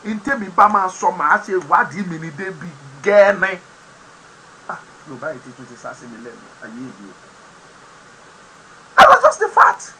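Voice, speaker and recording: Nigerian guy, yoruba his first language, ranting politics on his show, sharp bright voice, bit of noise behind. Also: high-pitched, huge pitch swings, a little fast, long pauses, rough recording. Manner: emphatic, preaching tone with sudden loud bursts. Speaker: host of an online talk program. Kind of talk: impassioned political commentary to camera.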